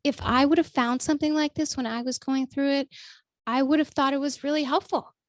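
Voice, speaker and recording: Woman vlogger, high-pitched and a bit strained, casual and filler-heavy, close and dry. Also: fairly even intonation, a little fast, no room sound. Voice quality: naturally high voice. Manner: chatty, many ums, calls listeners folks. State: voice still a little strained after illness. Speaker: vlogger and writer. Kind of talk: casual talk to camera.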